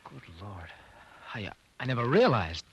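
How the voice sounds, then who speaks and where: A man's voice: mid-range voice, huge pitch swings, normal speed, fairly bright tone, husky, dry recording, dull audio, full character voice. An American man acting a genial, earnest doctor in his thirties in an old radio drama, playing the loyal college friend. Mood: shocked. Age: 30s. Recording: noisy old radio broadcast with clicks.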